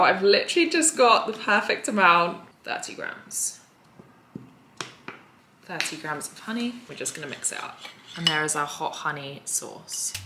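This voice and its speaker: A woman's voice: deep voice, noisy background. A young adult woman, chatting casually and emphatically as she walks through recipes in a home kitchen. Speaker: food and fitness vlogger. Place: modern hard-surfaced home kitchen, indoors. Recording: roomy kitchen echo.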